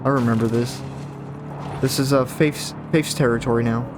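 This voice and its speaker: A man with a deeper, just-woke-up morning voice, chatting casually and rambling over his gameplay as a gaming video host. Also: steady tone, moderate pace, background noise. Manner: chatty, rambling, self-correcting. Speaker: gaming YouTuber. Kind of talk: casual let's-play commentary. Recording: voice over loud game audio.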